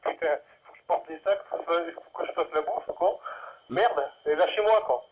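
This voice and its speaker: A man's voice: a little high, moderate pace, slightly rough. A man chatting casually as an amateur radio operator, rambling and hesitant, heard through a radio link. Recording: muffled, narrow-band two-way radio transmission.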